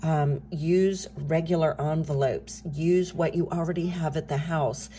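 Soft-spoken woman with a scratchy morning voice. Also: sits low, fairly even intonation, a little slow. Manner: soft-spoken, talking softly. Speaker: budgeting channel host. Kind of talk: casual chat while stuffing cash envelopes. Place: home desk, indoors.

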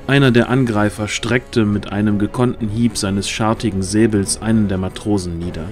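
A man's voice: on the low side, steady tone, moderate pace, a little mellow. A man narrating a fantasy audio drama in a dramatic, scripted storytelling style. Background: music.

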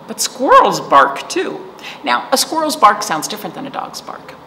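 A middle-aged woman telling a children's story as a storytime host, animated and expressive, with asides to her listeners. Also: fairly high pitch, normal speed. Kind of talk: expressive read-aloud storytelling for young children. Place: indoor library storytime room. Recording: roomy reverb with faint room noise.

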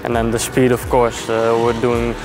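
Flat-voiced teen driver from the Netherlands. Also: male voice, mid-range voice, music in the background. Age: teens. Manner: halting, repeats words. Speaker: racing driver.

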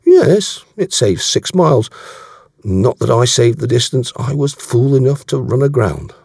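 A man's voice: mid-range voice, sing-song, unhurried, darkish tone, breathy edge, dry recording, clean studio sound, pitched above the performer's own voice, heavily acted. A man voicing an earnest, awkward English yachtsman in an audiobook, answering in a short, dogged, defensive way. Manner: short, defensive, offhand replies.

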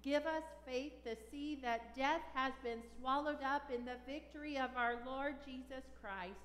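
An older woman, a pastor, reading solemn liturgy and scripture at a funeral in a measured, deliberate way. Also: high voice, fairly bright tone. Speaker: pastor leading a funeral service. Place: large church sanctuary, indoors. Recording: echoey church with long reverb.

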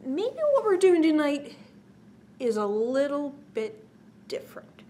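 A woman's voice: high-pitched, very animated, a little slow, warm tone. A woman in her forties hosting a children's story time, talking to young listeners with exaggerated, prompting questions. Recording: clear background noise.

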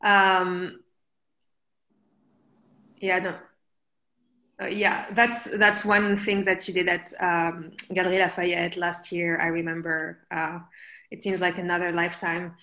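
Female moderator, thirties, a bit low-pitched, casual conversational style, roomy video-call sound. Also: completely flat delivery, slow, long pauses, pushed and bright. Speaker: interviewer, moderator. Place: indoors, room with archway. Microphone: computer mic on a video call.

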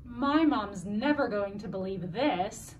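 A man doing distant, high schoolkid voices. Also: lively intonation, slow. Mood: chiming in. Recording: distant with loud background noise.

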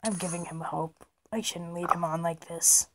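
A young woman improvising a Minecraft roleplay with friends in an excitable, dramatic, playful way. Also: on the low side, normal speed, background noise. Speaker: gamer in a group roleplay video.

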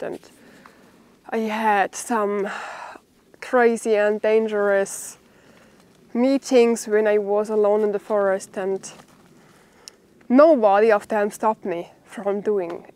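A young woman from Germany with a German accent, a native German speaker, talking haltingly and unscripted as a bushcraft vlogger. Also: mid-range voice, steady tone, darkish tone. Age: young adult. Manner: halting, with pauses mid-sentence. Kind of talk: unscripted vlog talk to camera. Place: outdoors in a forest.

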